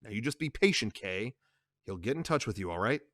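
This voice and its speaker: A storyteller voicing a calm family lawyer who gives smooth, evasive reassurances. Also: medium pitch, brisk, dry recording, clean studio sound, close to an everyday voice.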